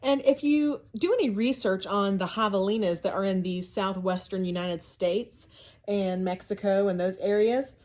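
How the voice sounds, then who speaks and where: Expressive high-voiced woman reading to children. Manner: expressive, teacherly, often asks rhetorical questions. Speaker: school librarian reading aloud to children. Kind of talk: children's story read-aloud with asides and questions.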